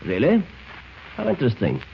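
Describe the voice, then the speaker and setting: An actor playing a suave, menacing foreign spy ring leader in an old radio drama, with courtly, deliberate, formal phrasing. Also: male voice, mid-range voice, huge pitch swings, vocal fry. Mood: smooth, commanding. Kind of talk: 1940s radio drama acting. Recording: dull, noisy old radio recording.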